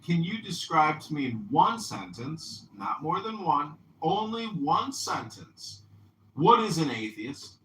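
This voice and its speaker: A man's voice: a little high, big pitch swings, slow, sharp tone, slight background noise. A man hosting a call-in podcast, talking in a sarcastic, lecturing way and drawing out his questions. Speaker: podcast host. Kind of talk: mocking call-in chat. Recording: roomy, distant mic.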